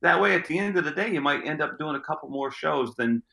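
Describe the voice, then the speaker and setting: A middle-aged man with a gruff voice, a street magician answering interview questions in a rambling way, often repeating words as he thinks. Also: on the high side, animated, quick pace, pushed and bright, echoey room.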